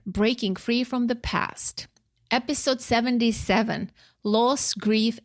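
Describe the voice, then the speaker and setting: A woman's voice: a little high, no echo. Armenian-accented woman hosting, close dry sound. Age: 40s. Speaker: podcast host. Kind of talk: podcast introduction and interview talk.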